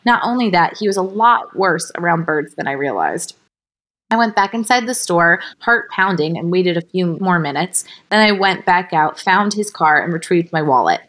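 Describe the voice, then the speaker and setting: A woman reading a story aloud as a podcast host, informal and expressive, ready to step out of the narration for asides. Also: on the low side, normal speed. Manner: expressive, breaks character, giggly slips and asides.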